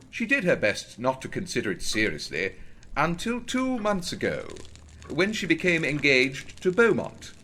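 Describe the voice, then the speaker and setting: An actor playing a formal Edwardian gentleman ghost investigator, telling his ghost story in a deliberate, ominous and grave manner. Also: medium pitch, slight background noise, heavily acted. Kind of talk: dramatic first-person storytelling of a ghost story.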